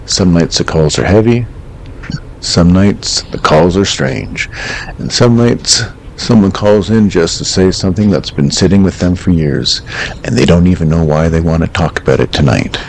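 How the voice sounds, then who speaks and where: A man playing a calm, wry host of an eerie late-night radio call-in show, speaking in a measured, reflective, understated way. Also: sits low, a little hiss or hum, poor audio.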